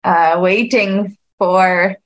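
A woman whose first language is Croatian, moderating a webinar in a relaxed way with some hesitations. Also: lowish voice, drawn-out words. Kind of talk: casual webinar chat.

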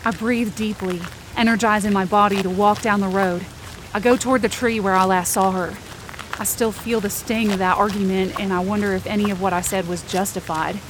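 This is a woman narrating a first-person fiction podcast in a tense, searching inner voice. Her pitch sits in a middle range. Faint background noise runs underneath. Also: fairly even intonation, brisk. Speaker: narrator and lead performer.

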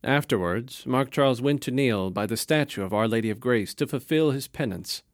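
A man narrating a story in a calm, measured storytelling manner. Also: mid-range voice, no room sound, clean studio sound.

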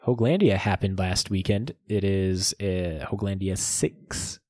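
Laid-back deep-voiced podcast host. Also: male voice, unhurried, warm tone, dry recording, studio quality. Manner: laid-back, joking, searches for words. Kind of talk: casual podcast banter.

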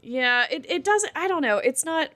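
A woman co-hosting a podcast in casual chat, with a wry and animated way of talking. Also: sits high, moderate pace. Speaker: podcast co-host.

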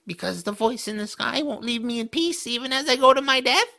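A man's voice: very high voice, brisk, creaky, pushed and bright, exaggerated voice. A man voicing a small, grumpy male troll in an audio drama, sarcastic, fretful and forever complaining. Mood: bitter, exasperated.

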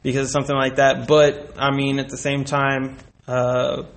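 A man answering as an interview guest in a casual chat, thinking aloud in hesitant, halting phrases. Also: medium pitch, monotone, vocal fry. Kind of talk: casual chat about personal experience.

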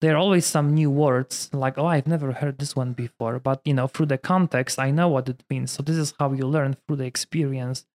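A man in his thirties from Poland, a priest speaking English with a Polish accent in a relaxed conversation, full of "you know" fillers. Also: mid-range voice, a little fast, few pauses. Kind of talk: casual conversation.

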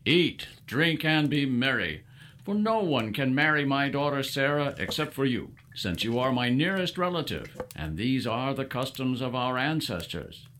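A man playing a devout, hospitable father of biblical times in an audio drama, speaking in a formal, expressive way. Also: medium pitch, normal speed, slight background noise. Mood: solemn. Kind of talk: full-cast audio drama acting.

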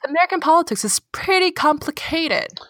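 A young woman from Hong Kong, a therapist with a Cantonese accent, speaking English as a second language in a thoughtful, halting way, with many fillers. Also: very high-pitched, animated, fairly bright tone, no room sound. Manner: thoughtful, searching for words, frequent fillers. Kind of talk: casual conversation.

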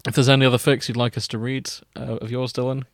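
A man's voice: medium pitch, flat delivery, quick pace, dry recording, studio quality. A man, chatting casually as the host of a podcast.

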